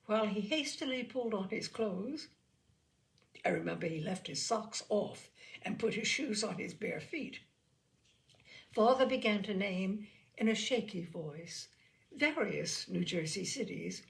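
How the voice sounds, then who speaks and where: A woman reading a literary story aloud as an expressive narrator. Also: medium pitch, measured pace, gravelly. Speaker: storyteller, reader.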